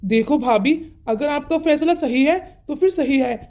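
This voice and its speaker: A woman's voice: sits high, moderate pace, warm tone, no echo. A woman voicing brash, defiant young men in a dramatic, confrontational Hindi family melodrama cartoon. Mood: cocky. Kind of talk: Hindi moral-story cartoon dialogue.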